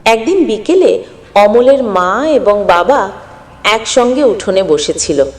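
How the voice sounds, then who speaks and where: A woman's voice: medium pitch, animated, takes it slowly. A woman narrating a scripted moral story as the storyteller. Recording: long echoing reverb.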